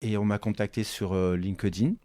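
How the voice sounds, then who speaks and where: A man's voice: on the low side, fairly even intonation, moderate pace, warm tone, dry recording. A man in his forties, a real estate agency founder, chatting in an interview and telling stories with lots of repetition and acted-out dialogue. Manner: chatty, repeats his own phrases, acts out quoted dialogue. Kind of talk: casual interview storytelling.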